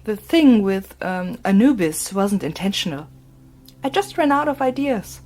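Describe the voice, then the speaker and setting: A woman reading as a flustered man behind a desk, apologizing as he rambles, his voice louder and fuller than the hushed telling around him. He sounds sheepish and a little scattered, with no gruffness or villainy. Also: sits high, big pitch swings, measured pace.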